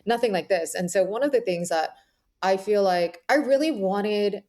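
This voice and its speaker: A woman's voice: medium pitch, moderate pace, darkish tone. A woman speaking as an author guest in a reflective, conversational interview, often dropping in "like" and "you know".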